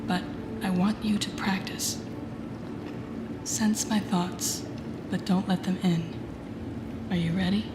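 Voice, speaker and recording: A woman playing a telepathic villain, a guarded and intense mentor with a secretly tender side, in an intimate audio roleplay with slow, breathy, deliberate delivery. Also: medium pitch, steady tone, very noisy, lightly acted. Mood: soft, coaxing. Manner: slow, deliberate, often dropping into breathy near-whispers. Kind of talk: intimate audio roleplay acting addressed to the listener. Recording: roomy echo with music behind.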